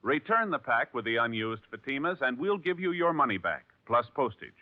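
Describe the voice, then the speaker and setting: A man's voice: mid-range voice, big pitch swings, a little fast, no echo. A male radio announcer reading an upbeat, emphatic 1950s commercial. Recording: dry tinny 1950s radio broadcast.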